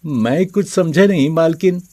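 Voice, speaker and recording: A man's voice: fairly high pitch, unhurried, warm tone. An actor playing a poor, meek male servant who pleads humbly in a story. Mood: timid, puzzled.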